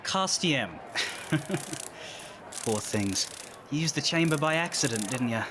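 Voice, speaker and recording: A man's voice: a little high, animated, measured pace. An actor playing a reasonable, sympathetic male guard in a fantasy audio drama, speaking politely and cautiously. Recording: noisy ambience behind the voice.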